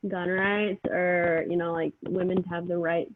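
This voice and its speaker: A woman's voice: on the low side, flat delivery, normal speed. A woman in her twenties on a video call, answering casually with "like" and "you know". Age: 20s. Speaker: focus group participant. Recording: tinny call audio with faint noise.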